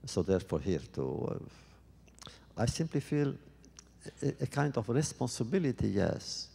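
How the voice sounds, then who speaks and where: A man answering audience questions at a public talk, speaking thoughtfully and haltingly with many restarts. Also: medium pitch, very animated, creaky, dark tone, breathy edge, long reverb tail.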